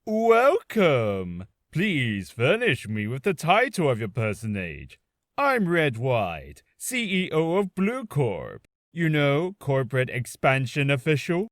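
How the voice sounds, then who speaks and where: Pompous rich ceo villain for a game, talks down to u slowly, very swoopy, dry mic. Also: male voice, medium pitch, huge pitch swings, no echo. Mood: smug. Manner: grandiose, condescending, over-wordy. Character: pompous, vain male corporate tycoon. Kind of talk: comic video game villain acting.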